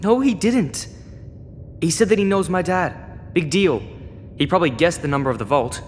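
A defiant teenage boy played by a man in a sci-fi audio drama, with a fairly high male voice. He argues and needles with frustrated sarcasm, over a slightly noisy background. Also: animated, moderate pace, darkish tone, close to an everyday voice. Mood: fed up, sarcastic. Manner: argumentative, sarcastic.

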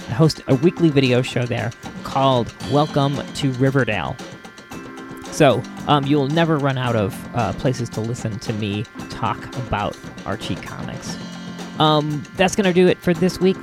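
A man hosting a podcast solo, talking casually in a rambling, halting way with chuckles mixed in. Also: fairly high pitch. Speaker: podcast host.

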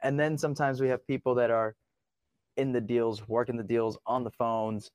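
An upbeat man co-hosts a casual podcast and talks loosely, with plenty of fillers. His voice sits at a middle pitch, and the recording is close and dry, with no room sound. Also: flat delivery, moderate pace, a little mellow, clear, resonant tone, no room sound. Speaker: podcast co-host.

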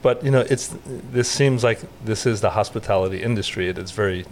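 A middle-aged man with a lowish voice talks in a relaxed, conversational way. He is a guest on a podcast interview, speaking as a realtor. Faint hiss sits behind him. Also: fairly quick.

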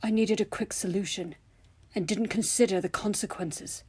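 A woman voicing a calm, apologetic male werewolf teacher in a storybook, gentle and placating, with a hoarse voice. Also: sits high, normal speed. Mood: regretful.

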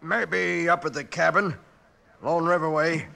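An actor playing a groggy, whining, frightened crooked deputy in a 1950s Western radio drama. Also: male voice, a little high, steady tone, gravelly, bright, forceful tone, heavily acted. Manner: whining, halting. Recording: vintage radio recording.

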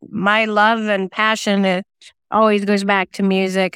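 A woman's voice: mid-range voice, a bit bright, clean tone, no echo. A woman talking reflectively as an interview guest, thoughtfully telling personal anecdotes about creative work. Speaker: singer-songwriter and novelist guest; former radio DJ.

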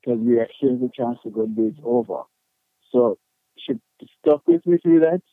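A man's voice: medium pitch, normal speed, no room sound, narrow, tinny audio. A man with a Jamaican accent telling personal stories as an interview guest, candid and emphatic in colloquial speech. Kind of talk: personal storytelling in conversation.

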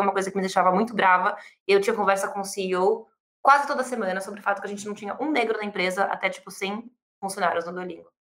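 A Brazilian woman in her thirties, a startup founder answering interview questions with lively, quick speech full of fillers and restarts. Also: medium pitch, reverberant. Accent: Brazilian Portuguese. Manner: fast, animated, many fillers and restarts.

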